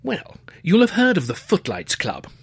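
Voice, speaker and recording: A man voicing an excitable, nervy young Edwardian student, chummy and gushing, with an upper-class English accent. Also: sits high, normal speed, breathy edge, no room sound, voice pushed up, exaggerated voice. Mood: chummy, eager. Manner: gushing, stammering, old-fashioned slang.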